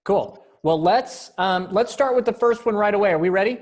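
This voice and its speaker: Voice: mid-range voice, normal speed. An upbeat, encouraging person casually coordinating a readers theater event over a video call.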